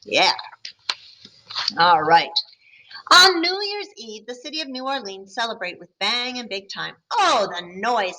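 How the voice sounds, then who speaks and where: A woman teacher reading a picture book aloud to her students, pausing to explain and ask them questions. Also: fairly high pitch, very animated, sharp tone, rough recording. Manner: friendly, explaining to children between passages.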